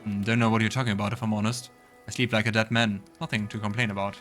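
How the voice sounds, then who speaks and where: A man's voice: lowish voice, flat delivery, fast, a bit bright, faint music behind, natural voice. A man voicing an amiable, teasing young village fisherman in a fantasy game, plain-spoken and easygoing. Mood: guarded.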